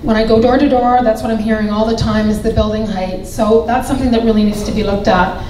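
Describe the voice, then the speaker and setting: A woman running for council, giving a measured, explanatory answer in a debate. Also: a little high, steady tone, darkish tone, a little hiss or hum. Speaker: election candidate. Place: public debate hall. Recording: echoey hall, distant.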